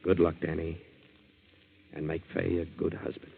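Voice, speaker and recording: An actor playing an earnest, plain-spoken young American boat hand in a 1940s radio drama. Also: male voice, sits low, completely flat delivery, normal speed, vocal fry. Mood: somber reading. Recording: muffled 1940s radio broadcast.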